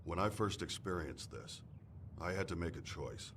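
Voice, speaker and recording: A man's voice: sits low, animated, moderate pace, fairly bright tone, a little hiss or hum. An actor playing a weary, resolute mortician haunted by what he has survived, speaking solemnly in a horror game.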